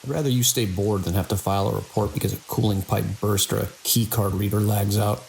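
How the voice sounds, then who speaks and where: A man playing a seasoned, wry security chief in an audio drama, with a measured, world-weary, procedural delivery and a gravelly voice. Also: lowish voice, fairly even intonation, darkish tone, poor audio. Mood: firm. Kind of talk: audio drama dialogue and log monologue. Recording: faint hiss and rain-like noise.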